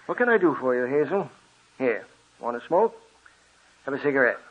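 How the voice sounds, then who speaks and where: An actor playing a genial older family doctor in a 1940s radio drama, avuncular and reassuring. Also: male voice, mid-range voice, big pitch swings, moderate pace, breathy edge. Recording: tinny, narrow old radio recording.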